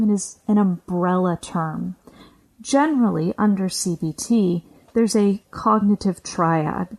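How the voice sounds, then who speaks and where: A woman presenting a scripted explanatory podcast segment in a measured, lecturing manner. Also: lowish voice, a little mellow, poor audio.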